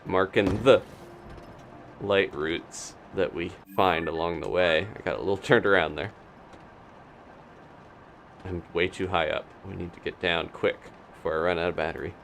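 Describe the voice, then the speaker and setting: A man's voice: low voice, moderate pace, creaky, pauses often, fairly bright tone, slight background noise. A man doing casual live commentary on a video game as he plays, thinking aloud. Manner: thinking aloud, talking to himself and his game character.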